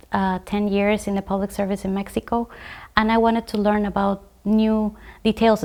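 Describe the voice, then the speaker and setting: A woman from Guatemala, a graduate student, talking about her study program in a measured way, with frequent fillers and restarts. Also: medium pitch, darkish tone. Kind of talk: conversational program overview.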